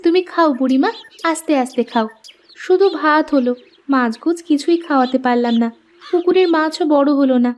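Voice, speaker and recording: A woman's voice: high-pitched, moderate pace, slight background noise, natural voice. A woman voicing a kind, earnest young village housewife in a cartoon story. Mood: caring. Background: music.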